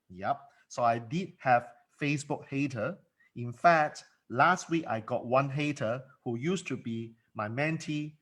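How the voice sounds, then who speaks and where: A man's voice: mid-range voice, fairly bright tone. Emphatic man coaching on camera. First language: not English. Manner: emphatic, repeats words. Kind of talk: motivational monologue.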